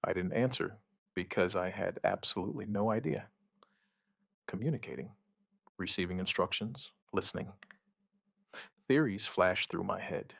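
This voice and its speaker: A man narrating a scripted first-person survivor horror story, gravely and in short, measured phrases with dramatic pauses. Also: low-pitched, a bit bright, dry recording, studio quality.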